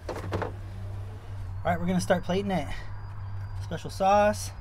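Middle aged guy vlogging, pretty high voice, easygoing n rambly, clear vehicle noise behind him. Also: steady tone, measured pace, a little mellow. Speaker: vlog host. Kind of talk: casual chat to camera. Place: back of a truck camper.

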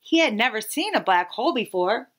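A woman in her thirties reading a children's picture book aloud expressively, with dramatic pauses and emphasis. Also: fairly high pitch, very animated, fairly bright tone. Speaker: read-aloud storyteller.

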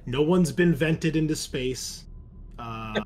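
A man narrates a tabletop roleplaying session as game master. He talks casually, with halting pauses and deliberate phrasing, over a faintly noisy background. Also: a little high, a little mellow.

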